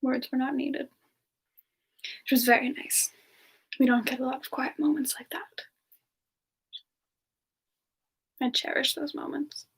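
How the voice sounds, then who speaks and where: A woman voicing a gentle, affectionate female frost demon in a semi-ASMR fan Q&A, soft-spoken and hesitant, drifting toward whispers. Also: sits high, level tone, moderate pace, gravelly, husky, heavily acted. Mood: tender, wistful. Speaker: ASMR character performer answering viewer questions. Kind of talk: semi-ASMR in-character livestream Q&A.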